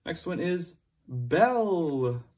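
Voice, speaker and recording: A young man teaching young children, playful and animated, cheering them on and making sound effects while he reads a picture book aloud in a classroom. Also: on the high side, drawn-out words, slight background noise. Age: young adult. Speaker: preschool teacher. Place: classroom with word cards on a board.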